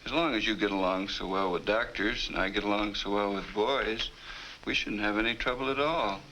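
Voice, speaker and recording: A man playing a calm, reassuring psychiatrist in a 1950 educational film, speaking in a patient, measured way. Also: lowish voice. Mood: friendly, coaxing. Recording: noisy vintage 1950 film soundtrack.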